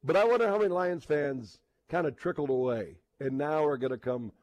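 A man hosting radio sports talk, thinking aloud in a casual, halting, rambling way with repeated words. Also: a little high, a little mellow, no room sound, muffled recording. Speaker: sports radio host, live on location.

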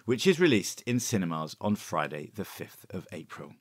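A man's voice: low voice, sing-song, normal speed. A man reading scripted links as the presenter of a radio arts show.

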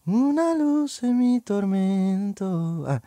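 A man in his thirties with a European Portuguese accent, a podcast guest telling a personal story in casual, lively talk that laughs, rushes ahead, then trails off softly. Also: high voice, no echo.

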